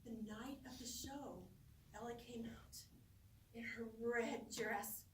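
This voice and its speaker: A middle-aged woman giving a humorous storytelling speech to a live audience, expressive and theatrical, with dramatic pauses and drawn-out words. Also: fairly high pitch. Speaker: speech contest competitor. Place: roomy indoor space before an audience. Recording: echoey room, distant microphone, faint noise.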